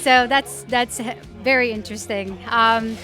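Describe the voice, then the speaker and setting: A woman interviewing a guest at a conference, thanking him warmly and asking questions with some hesitation. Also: a little high, steady tone, unhurried, soft background music. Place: conference venue.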